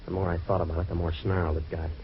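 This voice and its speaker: Hardboiled American gumshoe: very low voice, rapid deadpan wisecracks, vintage radio crime drama. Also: male voice. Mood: weary, sardonic. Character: hardboiled, wisecracking male private eye in trouble. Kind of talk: old-time radio detective drama acting and narration. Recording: dull, noisy vintage radio recording.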